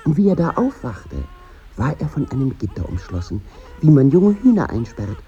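A teller of dark fairy tales in a radio play. Her voice is mellow and very lively in intonation. The sound is somewhat dull. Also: rumbling low, normal speed. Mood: storytelling. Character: storyteller reciting a dark fairy tale.

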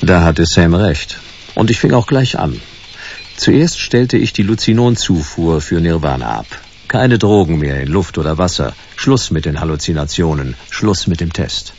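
An actor playing a laconic hard-boiled private detective in a German radio drama, dry and clipped. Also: male voice, sits low, lively intonation, normal speed, darkish tone, highs cut off, a little hiss or hum. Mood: laconic narrating. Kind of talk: first-person noir narration and dialogue in radio drama.

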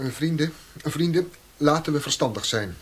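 An actor playing a cautious, self-important bourgeois husband in a radio drama, a cowardly schemer who speechifies pompously. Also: male voice, a little high, expressive, moderate pace, breathy edge.

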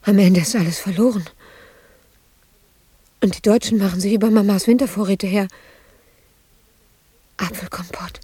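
An actress playing an embittered, lonely middle-aged woman sculptor in a radio drama monologue, husky and intimate, often slipping into a whisper. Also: medium pitch, fairly even intonation, normal speed, pauses often, warm tone, no echo, close to an everyday voice. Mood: bleak, agitated. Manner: brooding, intimate, shifting between whisper and outburst.

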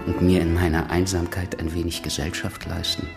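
A man acting in a radio drama as a suave, solitary, sardonic gentleman. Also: low voice, little pitch movement, a little fast, vocal fry, over music. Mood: softly inviting.

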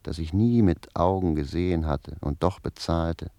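A roguish manservant talks in an extremely deep, mellow voice, recorded very dry. He is garrulous and recounts his exploits with a storyteller's deliberation, as in a classic radio play. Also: normal speed, no echo. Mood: dry, self-satisfied. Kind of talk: German radio play acting.

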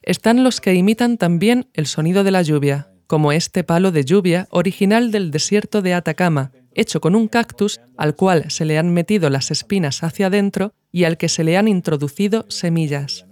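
A woman's voice: fairly low pitch, moderate pace, few pauses, darkish tone, no room sound. Woman narrating, close and dry. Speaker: podcast narrator. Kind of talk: scripted documentary narration.

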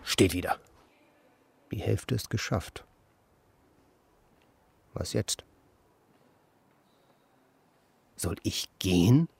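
An actor playing an idle, bemused drifter who mutters and exclaims to himself in a German radio play. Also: male voice, lowish voice, takes it slowly, creaky, slightly rough, exaggerated voice. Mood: muttering, flustered. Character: idle male drifter, musing, irritable, bemused.

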